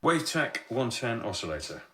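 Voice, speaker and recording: An actor playing a wry, matter-of-fact sound technician reading off equipment checks in a radio drama. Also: male voice, mid-range voice, sing-song, normal speed, background noise, close to an everyday voice. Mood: brisk.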